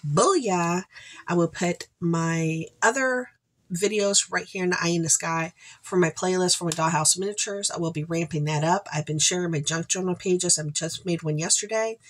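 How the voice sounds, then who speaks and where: A congested woman in her forties chats through a store haul with rambling, upbeat asides, her voice sitting somewhat low for a woman. She talks to a webcam while seated in a room at home. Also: fairly bright tone. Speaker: haul vlogger, channel host. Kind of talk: casual haul chat to camera. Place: home room with shelves, indoors.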